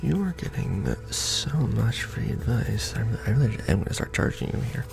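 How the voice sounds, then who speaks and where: A sly secret-society recruiter, acted by a man in an ASMR roleplay, speaking low and close to the mic in a heavily fried half-whisper. The chummy, sardonic patter swings widely in pitch and carries a quiet menace. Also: sing-song, moderate pace, gravelly, music in the background. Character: casually menacing Illuminati recruiter onboarding a new initiate.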